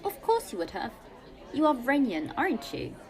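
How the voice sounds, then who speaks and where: A woman's voice: high-pitched, very animated, normal speed, some chatter behind. A woman acting in an audio play as a chatty, motherly café woman fond of gossip. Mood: gossipy, lively.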